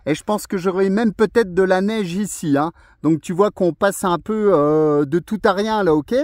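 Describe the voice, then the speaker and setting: A chatty man makes a portable ham radio contact from an outdoor summit, talking freely with many fillers. His voice sits high for a man. The sound is close and dry. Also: normal speed, darkish tone, dry recording. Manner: chatty, friendly, frequent fillers. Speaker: radio amateur operating outdoors. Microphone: portable radio, filming a video.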